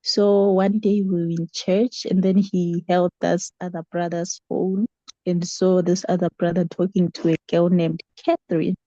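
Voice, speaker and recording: A woman's voice: fairly low pitch, flat delivery, measured pace, dark tone, no room sound, dull audio. A woman with a South African accent telling a personal story casually, acting out quoted dialogue as she goes. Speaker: book club member sharing a story.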